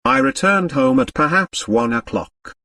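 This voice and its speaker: Man playing a haughty, animated French count. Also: a little high, a little slow, vocal fry, no echo, studio quality, distant mic, pitched above the performer's own voice, heavily acted. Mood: composed. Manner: haughty, indignant, formal. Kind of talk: audiobook character dialogue.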